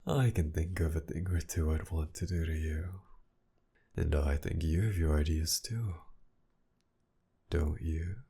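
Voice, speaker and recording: Man acting a deep, gravelly, seductive villain. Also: dark tone, heavily acted. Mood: teasing, seductive. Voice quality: low, gravelly, breathy. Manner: slow teasing drawl, often dropping to a whisper. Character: flirtatious, teasing, possessive male villain holding a hostage. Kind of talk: intimate ASMR roleplay acting.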